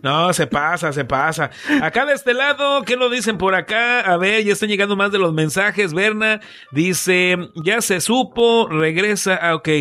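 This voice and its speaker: Mexican guy radio host, pretty high voice, casual morning banter. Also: expressive, unhurried, bright, forceful tone.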